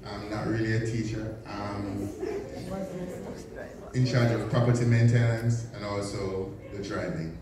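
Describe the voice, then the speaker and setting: A man's voice: lowish voice, fairly even intonation, a little slow, a loud crowd. A man, a school's maintenance worker and driver, introducing himself plainly and modestly. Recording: echoey hall from far off.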